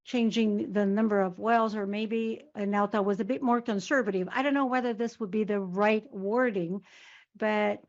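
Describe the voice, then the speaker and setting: A woman interpreting live on a corporate earnings call in a halting way, repeating and rephrasing words. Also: mid-range voice, steady tone. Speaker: conference interpreter. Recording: dull, lo-fi conference call audio.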